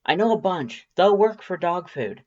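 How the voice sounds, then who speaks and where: Someone voicing a fierce, loud fish-monster woman, pitched high. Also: expressive, normal speed, muffled recording, lightly acted. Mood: accusing. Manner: accusing, blunt, intense.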